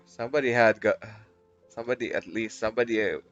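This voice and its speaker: A man's voice: medium pitch, quick pace, a bit bright. A man giving casual, crude, exclamatory reactions as commentary over a video game. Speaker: gaming video creator. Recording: dull lo-fi mic over game music.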